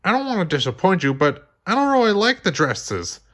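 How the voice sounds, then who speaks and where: A man's voice: high-pitched, sing-song, fairly quick, fairly bright tone, voice pushed up. A man voicing a teenage superhero girl in a storybook reading, wry and honest in manner. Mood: hesitant, honest.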